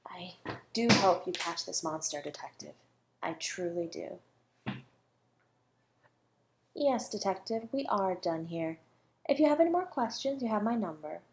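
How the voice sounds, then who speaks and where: A woman acts out a self-assured female academic suspected of murder in an intimate audio roleplay. She is coy and teasing, speaking with measured composure. Her manner is condescending and flirtatious, as if she is always a step ahead of the detective. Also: fairly low pitch, expressive. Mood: smug, teasing. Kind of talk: flirty audio roleplay, one-sided dialogue.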